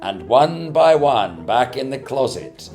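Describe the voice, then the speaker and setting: A man playing a grandiose announcer of a 1940s-style radio drama, theatrical, measured and declamatory. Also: medium pitch, sing-song. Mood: solemn, poetic. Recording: muffled, music behind the voice. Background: guitar music.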